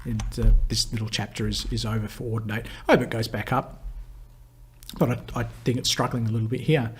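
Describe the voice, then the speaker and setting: A hoarse man who is losing his voice, hosting a live viewer Q&A and rambling through stock charts with lots of fillers and restarts. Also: mid-range voice, steady tone. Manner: chatty and rambling, many fillers and restarts, quick laughs. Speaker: market analyst hosting a viewer Q&A. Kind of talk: live chart commentary answering viewer questions.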